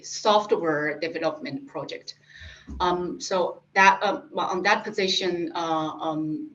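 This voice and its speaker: Interview guest: middle-aged woman, Japanese accent, low voice, halting delivery, dull lo-fi recording. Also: a bit bright, a little hiss or hum. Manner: halting, many fillers and restarts. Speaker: guest, industry specialist. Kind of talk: interview answers. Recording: dull lo-fi sound.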